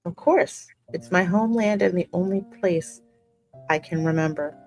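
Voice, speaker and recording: A woman's voice: on the low side, normal speed, a little mellow. Earnest, plucky young heroine voiced by a woman. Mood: earnest, pleading. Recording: music running behind the voice.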